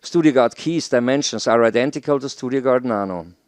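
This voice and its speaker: A middle-aged man gives a formal, deliberate scripted presentation into a headset mic in a small studio. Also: mid-range voice, no echo. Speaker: presenter, audio educator. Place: small home studio, indoors.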